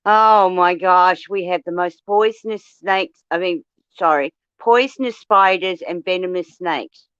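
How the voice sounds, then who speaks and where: A woman's voice: fairly low pitch, unhurried, a bit bright, clear, resonant tone, dry recording, muffled recording. A woman from Australia with an Australian accent, chatting casually, blunt and swearing freely as she tells stories. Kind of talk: casual chat.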